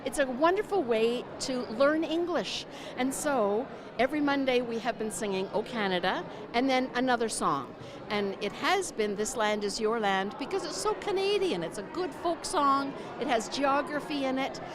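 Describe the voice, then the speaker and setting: Enthusiastic high-voiced teacher amid a crowd. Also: female voice, lively intonation, normal speed. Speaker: ESL teacher.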